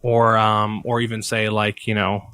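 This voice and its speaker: A man's voice: on the low side, monotone, measured pace, background noise. A man chatting casually as a podcast guest, with a crude, joking, profane manner. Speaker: podcast guest, comedy YouTuber. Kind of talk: casual chat.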